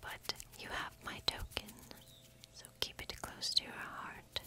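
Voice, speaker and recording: Young woman as a whispering kind forest witch. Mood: caring, earnest. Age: young adult. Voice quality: breathy whisper. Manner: gentle, unhurried, theatrical storytelling. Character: kind, curious forest witch, gentle healer. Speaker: ASMR performer. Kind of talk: whispered ASMR fantasy roleplay storytelling. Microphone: close microphone.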